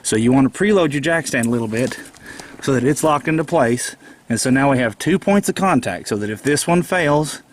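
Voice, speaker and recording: A man's voice: medium pitch, animated, brisk, slight background noise. A man with a slight head cold, explaining a car repair step by step in a plainspoken, patient way with frequent fillers. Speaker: DIY car repair presenter. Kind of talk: casual how-to walkthrough.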